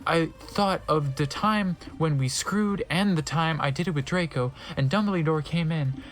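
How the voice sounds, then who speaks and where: A man's voice: a little high, a little slow, a bit bright. A man giving a theatrical, exaggerated comedic reading of fan fiction, breaking off now and then to react. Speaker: reader of a comedic dramatic reading.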